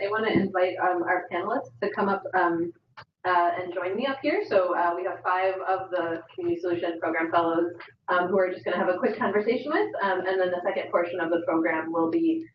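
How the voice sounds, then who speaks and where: Woman moderating a panel, hesitant with ums, low voice, distant in an echoey room. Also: a little fast, muffled recording, a little hiss or hum. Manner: hesitant, many fillers. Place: event room.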